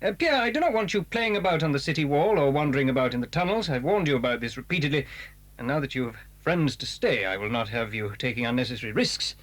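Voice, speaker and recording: A man's voice: mid-range voice, animated, fast talker, a bit bright, natural voice. A man playing a stern, formal upper-class English stepfather in a children's audio drama. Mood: formal, warning. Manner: formal, strict, easily irritated.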